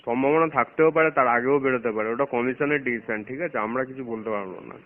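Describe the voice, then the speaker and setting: A man gives terse, noncommittal office replies at a quick pace. The sound is a dull phone recording with faint noise. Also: medium pitch. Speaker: office staffer answering phone. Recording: lo-fi recorded phone call, hissy.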